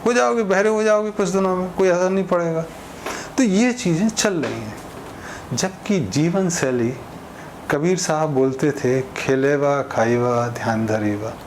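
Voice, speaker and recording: A man's voice: a little high, unhurried, slight background noise. A man delivering an informal opinion monologue in a conversational way, explaining with everyday examples and imagined exchanges. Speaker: speaker giving social commentary.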